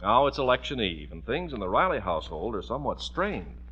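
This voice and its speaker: Male vintage radio pitchman and narrator: medium pitch, forceful, polished, emphatic delivery, muffled period recording. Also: sing-song, fairly quick, slight background noise. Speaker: announcer. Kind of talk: 1940s radio commercial and scripted narration.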